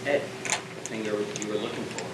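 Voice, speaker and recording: A young man on stage playing a brooding, wistful spaceship captain facing death, in halting, reflective monologue. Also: fairly low pitch, fairly even intonation, natural voice. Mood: wistful, subdued. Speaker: student stage actor. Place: school theatre stage. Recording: echoey stage, distant mic, clear background noise.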